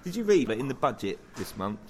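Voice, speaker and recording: A man's voice: medium pitch, very animated, fast talker, a little mellow. A man co-hosting a podcast in casual review chat, with dry, teasing banter. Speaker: podcast co-host. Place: pub. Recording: busy pub crowd noise behind. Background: chatter of pub crowd.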